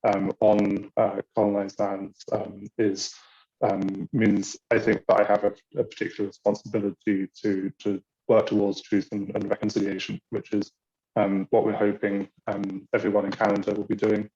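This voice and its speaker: A British man giving an online orientation talk for a university's international office, pausing often with ums and uhs. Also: lowish voice, completely flat delivery, creaky, dark tone, muffled recording. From Britain. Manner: hesitant, many ums and uhs. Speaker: university international programs staff. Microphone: video call.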